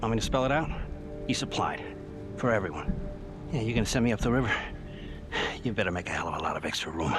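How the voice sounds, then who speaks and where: An actor as a mouthy young male suspect in a crime game, full of streetwise slang and mockery. His delivery leaps up and down in pitch, with music underneath. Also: animated, fast, long pauses, lightly acted. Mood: defiant. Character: cocky, foul-mouthed young male suspect, streetwise, defiant, easily panicked. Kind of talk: dramatic video game acting.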